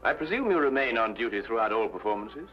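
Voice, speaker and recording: An actor playing a cool, inquisitive gentleman detective, musing and courteous, in an old-time mystery drama. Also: mid-range voice, big pitch swings, fast, soft background music, lightly acted. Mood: polite probing. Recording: dull old radio recording.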